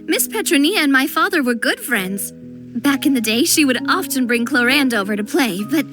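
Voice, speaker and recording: Chatty, high-voiced young lady. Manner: chatty, expressive, quick to react. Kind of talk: game cutscene dialogue. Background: game music.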